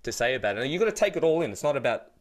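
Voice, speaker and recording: A man's voice: mid-range voice, sing-song, very fast. A man in his twenties with an Australian accent chatting casually as a podcast host, informal and sweary, close to a studio mic. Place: small home studio room. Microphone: close studio microphone, headphones.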